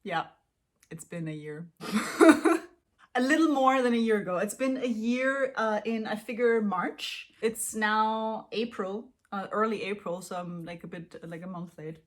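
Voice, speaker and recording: A woman's voice: medium pitch, a little slow. Chatty woman vlogging, giggles a lot, lots of ums, mid-height voice. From Austria. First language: Austrian German. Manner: chatty, giggly, self-deprecating, lots of fillers. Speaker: language-learning vlogger. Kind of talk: casual chat to camera.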